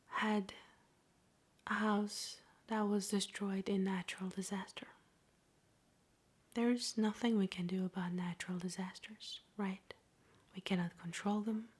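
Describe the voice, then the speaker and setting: Breathy whispering woman, slow ASMR meditation. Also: mid-range voice. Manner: slow, gentle, soothing delivery with long pauses. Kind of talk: soft-spoken whispered ASMR guided meditation.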